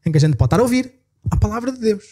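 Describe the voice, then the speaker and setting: Portuguese pastor reading scripture emphatically. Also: male voice, sits high, sing-song, mellow. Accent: European Portuguese. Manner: reads verses slowly with rising emphasis, then talks quickly between passages; self-correcting, apologetic asides.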